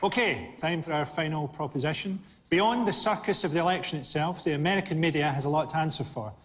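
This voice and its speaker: A Scottish man hosting a comedy panel show, delivering dry, deadpan jokes in a TV studio. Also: a little high, fast, a crowd in the background, background noise. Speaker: host. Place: TV studio with audience. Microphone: lapel mic.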